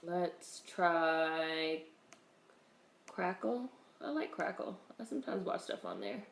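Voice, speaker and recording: A woman hosting a casual livestream, greeting viewers in a relaxed, chatty way while congested with a cold. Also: on the low side, takes it slowly, creaky, reverberant, slight background noise. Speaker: livestream host.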